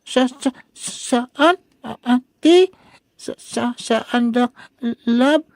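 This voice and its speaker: A woman voicing a timid, sad little boy in a radio drama, speaking haltingly with a heavy stammer. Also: on the high side, no echo, studio quality. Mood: sad, hurt. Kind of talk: Filipino radio drama acting.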